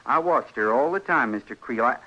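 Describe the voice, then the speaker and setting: A man's voice: mid-range voice, lively intonation, a little fast, bright, forceful tone. An actor playing an obsessed, lovelorn, simple frontier man in a radio western, speaking in an earnest, repetitive rustic way. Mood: fixated, insistent. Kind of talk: old-time radio western acting. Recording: dull, lo-fi old radio recording.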